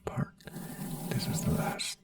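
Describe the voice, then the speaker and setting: A non-native English speaker in a soft-spoken ASMR doctor roleplay, whispering breathily in a gentle, reassuring manner. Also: slow, recorded from a distance. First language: not English. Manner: gentle, reassuring personal attention. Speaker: ASMR performer playing a brain doctor.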